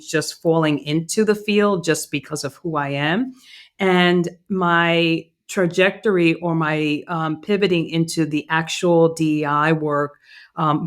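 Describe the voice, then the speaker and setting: A woman in her fifties from Cuba, whose first language is Spanish, giving reflective interview answers with fillers and restarts. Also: low voice. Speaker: podcast guest, DEI consultant.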